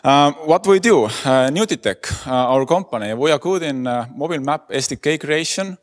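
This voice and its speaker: Man giving a halting talk. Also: on the high side, animated. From Estonia. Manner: hesitant, many fillers. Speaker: conference speaker. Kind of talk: lightning talk presentation. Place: conference hall. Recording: echoey conference hall.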